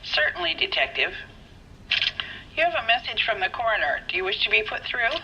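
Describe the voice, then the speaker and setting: A woman's voice: medium pitch, very animated, a little fast, noisy background. An actress playing a polite police switchboard operator, heard over a tinny phone line.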